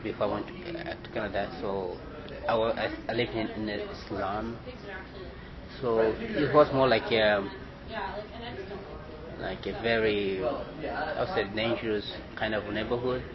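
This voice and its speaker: Man answering over loud crowd. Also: on the low side, fairly even intonation. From Angola. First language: Portuguese. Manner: halting, many restarts and fillers. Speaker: interviewee. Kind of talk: casual interview answers. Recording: dull lo-fi sound with loud crowd noise.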